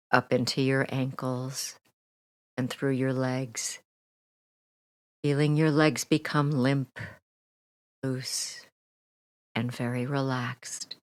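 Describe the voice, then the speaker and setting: A woman leading a guided meditation as a hypnotherapist, speaking soothingly and gently with deliberate pauses, her soft phrases trailing off toward a near whisper. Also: extremely low pitch, fairly even intonation, no room sound. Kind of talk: guided meditation, relaxation script.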